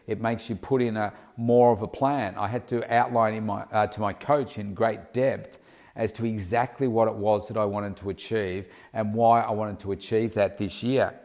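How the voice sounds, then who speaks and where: A man's voice: on the low side, a little mellow. A man who works as a personal fitness coach, sounding snuffly from hay fever, giving casual step-by-step advice with frequent ums. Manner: conversational, instructive, talks in steps with frequent ums.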